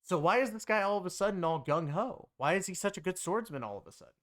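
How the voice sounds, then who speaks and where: A man's voice: sits high, expressive, fast, fairly bright tone. A man giving a casual, rambling recap of a video game story, with an emphatic, conversational delivery. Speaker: game streamer and story reviewer.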